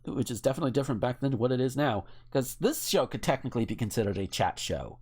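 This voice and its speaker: Man hosting a chat, very animated pitch. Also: quick pace, slightly rough. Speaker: podcast host. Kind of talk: casual chat, reading out word lists.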